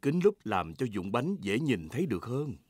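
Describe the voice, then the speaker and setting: A woman's voice: extremely low pitch, big pitch swings, normal speed, breathy edge. A woman reading scripted narration for a children's cartoon. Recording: loud music behind the voice.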